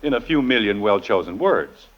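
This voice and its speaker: A man's voice: on the low side, expressive, normal speed, natural voice. An American man playing a patient, teasing middle-aged father with dry mock formality in an old-time radio family comedy. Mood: wry, patient. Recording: dull, noisy old radio recording.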